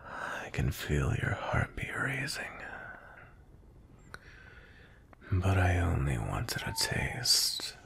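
A cold, dominant male vampire knight, acted by a man for an intimate ASMR roleplay. His voice is extremely deep and very slow, often sinking into a breathy whisper. He sounds menacing and possessive. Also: wildly swooping pitch, fairly bright tone, heavily acted. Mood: possessive, hungry. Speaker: audio roleplay voice actor.

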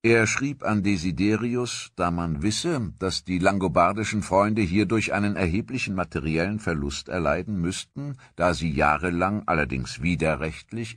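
Low-voiced man narrating history. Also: moderate pace, hardly any pauses, no echo, studio quality. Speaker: documentary narrator.

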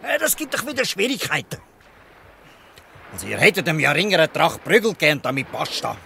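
An actor playing a gruff but good-hearted old fisherman in a Swiss German dialect radio play, scolding and exclaiming. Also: a little high, very animated, fairly quick, sharp tone, slight background noise, full character voice. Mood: exasperated, scolding. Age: older.

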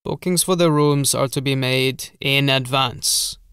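A man's voice: mid-range voice, steady tone, measured pace, fairly bright tone, clear, resonant tone, no echo, studio quality. Man voicing a formal, hunched old castle steward. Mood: formal, guarded. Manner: formal, deferential servant.

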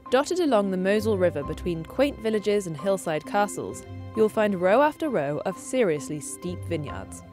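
A woman in her thirties presents a travel show with a bouncy, animated voice that rises and falls a lot. Her delivery is upbeat and scripted. Soft music plays faintly behind her. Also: medium pitch, normal speed. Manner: lively, upbeat storytelling. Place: outdoors in town streets and vineyards.

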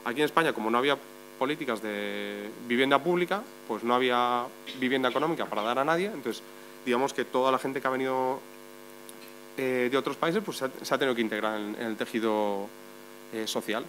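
A man's voice: mid-range voice, a little fast, a bit bright, poor audio. Man explaining policy points at a debate. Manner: explanatory, builds points with lists and rhetorical questions. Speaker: journalist on a panel. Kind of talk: panel debate talk. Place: meeting room. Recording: faint mains hum.

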